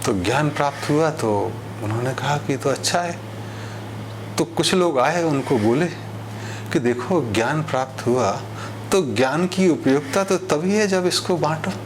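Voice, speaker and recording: A man delivering an expository monologue in a didactic, deliberate way, using rhetorical repetition. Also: on the high side, background noise. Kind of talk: expository monologue on social issues with parables.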